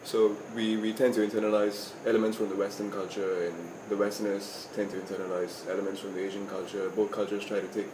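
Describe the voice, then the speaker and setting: A young Indian student talks in a relaxed, even way. His low voice barely moves in pitch. It rings in an echoey room over clear background noise. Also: quick pace, few pauses. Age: young adult. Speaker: university student. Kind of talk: interview answer.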